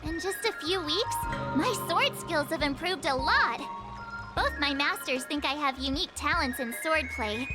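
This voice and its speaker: A woman voicing a bubbly, eager teenage girl sword apprentice in an anime game, chirpy, earnest and expressive. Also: sits high. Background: music.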